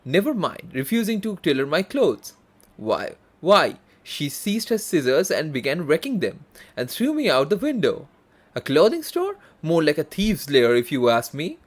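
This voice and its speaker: Outraged male game customer, read by a man: huffy, exclamatory, highish voice with animated swings. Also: normal speed. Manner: indignant, exclamatory. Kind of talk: read-aloud game text, dramatized.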